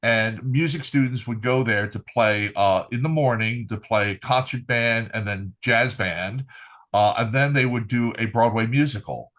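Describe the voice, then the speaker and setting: A man's voice: mid-range voice, unhurried, fairly bright tone. Older man telling stories with many fillers. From Finland. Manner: rambling, many "uh" fillers, good-humored. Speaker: guest, retired military trumpeter. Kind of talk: relaxed podcast interview storytelling.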